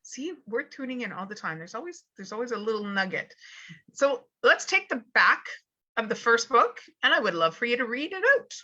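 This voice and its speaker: A woman's voice: fairly high pitch, big pitch swings, normal speed, pushed and bright, dull audio. A woman hosting a casual interview, reacting effusively and expressively. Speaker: interview host.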